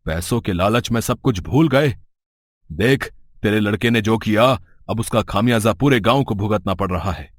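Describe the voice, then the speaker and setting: A man's voice: on the low side, no room sound, clean studio sound. A man playing a middle-aged village headman in an audio story, stern, suspicious and authoritative. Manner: scolding, authoritative. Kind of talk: dramatic story dialogue.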